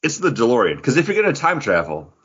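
A man's voice: mid-range voice, huge pitch swings, quick pace, fairly bright tone, slightly rough, muffled recording. A man co-hosting a podcast, chatting casually with a geeky fan's enthusiasm. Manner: enthusiastic geeky fan talk. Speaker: podcast co-host. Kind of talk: casual pop-culture chat.

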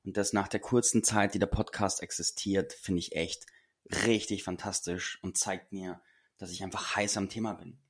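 A man hosting a podcast and speaking earnestly to listeners, a little hoarse today. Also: fairly low pitch. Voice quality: husky. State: a bit hoarse. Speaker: podcast host and coach. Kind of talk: solo podcast talk.

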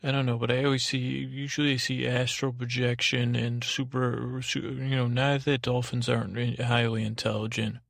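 Male sleep-podcast host: thick vocal fry, gravelly, fairly flat intonation, wandering, hesitant, lulling delivery. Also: medium pitch, dry recording, studio quality. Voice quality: creaky, gravelly. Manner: meandering, halting, digressive; lulling, soothing. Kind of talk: rambling bedtime monologue to lull listeners to sleep.

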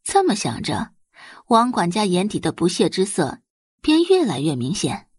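A woman's voice: mid-range voice, wildly swooping pitch, normal speed, slightly rough, dry recording, clean studio sound. A woman narrating a scripted dramatic audiobook story.

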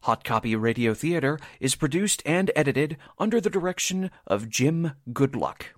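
A man's voice: a little high, lively intonation, normal speed, dry recording, studio quality. A man reading scripted credits as a radio show announcer, formal and presentational.

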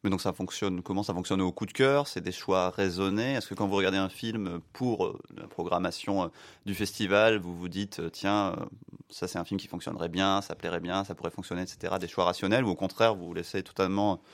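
A man's voice: deep voice, steady tone, brisk, no room sound. A man interviewing a guest, asking long, winding questions.

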